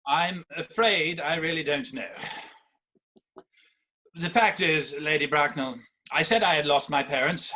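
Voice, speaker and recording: A man playing a polite young Victorian gentleman suitor in a theatrical comedy reading, well-spoken and deferential. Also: on the high side, measured pace, pauses often, poor audio. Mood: awkward, confessing. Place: virtual performance from home in isolation.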